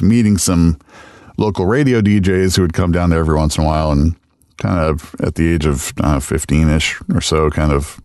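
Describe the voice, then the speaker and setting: A middle-aged man, a radio voice talent, chatting casually in an interview, relaxed and self-deprecating, with many fillers. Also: low voice, dry recording, studio quality. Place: podcast studio.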